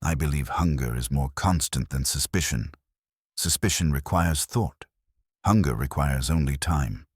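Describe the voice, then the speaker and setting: An imperious gourmand detective as a male narrator plays him in an audiobook. He pronounces formally and scornfully in a very deep voice, with pompous precision and a dry, close sound. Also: unhurried, husky, no room sound, heavily acted. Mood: pronouncing. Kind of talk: audiobook character dialogue.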